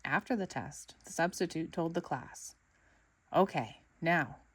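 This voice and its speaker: A woman narrating a children's picture book aloud with expressive, dramatic storytelling. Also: lowish voice, normal speed, a little hiss or hum. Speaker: storybook reader.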